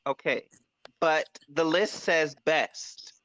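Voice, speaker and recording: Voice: medium pitch, steady tone, slow pace. A podcast panelist in heated casual banter, argumentative and exclamatory, on a noisy recording with clicks.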